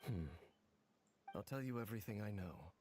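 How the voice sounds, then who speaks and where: An actor playing a mysterious, world-weary masked man in a video game, speaking in a solemn, measured, cryptic way. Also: lowish voice, expressive, darkish tone, a little hiss or hum, natural voice. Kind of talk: dramatic video game dialogue.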